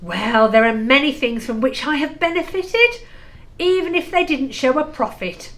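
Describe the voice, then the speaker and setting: A woman's voice: high voice, animated, moderate pace, fairly bright tone. A woman playing a cheerful, kind-hearted young nephew in an audio play, earnest and good-humoured. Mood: earnest, warm. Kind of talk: amateur audio play acting.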